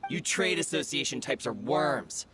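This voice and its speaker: An actor playing a contemptuous thief in a video game, mocking and taunting. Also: male voice, mid-range voice, lively intonation, unhurried, creaky, very noisy. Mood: mocking, hostile. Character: sneering, contemptuous male thief.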